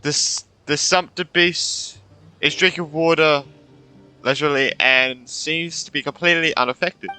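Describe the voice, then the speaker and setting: A man's voice: fairly high pitch, steady tone, unhurried, pushed and bright. A man giving casual let's-play commentary, thinking aloud, repeating himself and reading game dialogue out. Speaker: gaming streamer.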